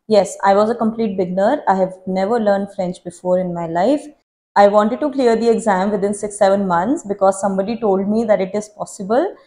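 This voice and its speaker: A woman in her thirties whose first language is Hindi talks through viewer questions in a casual vlog, reading each one aloud before answering. Her voice is mellow and dark in tone, with an ordinary middle pitch. She sounds earnest and reflective. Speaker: vlogger.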